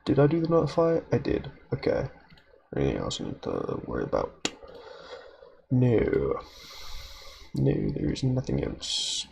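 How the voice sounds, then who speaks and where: A man's voice: mid-range voice, wildly swooping pitch, measured pace, creaky, pauses often, darkish tone, a little hiss or hum. Nasal man muttering through stream setup. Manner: rambling, talking to himself while setting up. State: nasal from recovering illness. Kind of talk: casual stream setup chatter.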